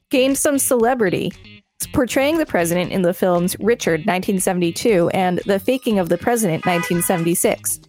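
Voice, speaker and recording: A woman's voice: mid-range voice, a little mellow. A woman co-hosting a podcast, reading articles aloud and chatting casually between entries. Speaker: podcast co-host.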